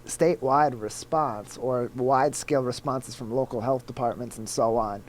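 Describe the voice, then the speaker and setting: A man co-hosting a talk show, slightly stuffed up, explaining the news in a measured, casual way with some fillers. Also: mid-range voice, slight background noise. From Michigan. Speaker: co-host.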